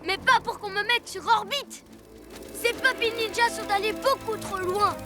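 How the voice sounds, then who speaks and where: Villainous little ninja boy for a kids' cartoon female actor; boastful, touchy, theatrical, pitched extremely high. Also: unhurried, music in the background. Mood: indignant. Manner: indignant, boastful, grandly theatrical. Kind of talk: dubbed children's cartoon acting.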